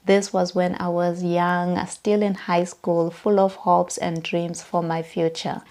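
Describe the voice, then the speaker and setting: A woman's voice: lowish voice, level tone, slow pace, a little mellow. A woman in her thirties with a Southern African accent, talking to camera in a personal vlog. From Zimbabwe and South Africa. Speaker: vlogger. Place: bedroom, indoors.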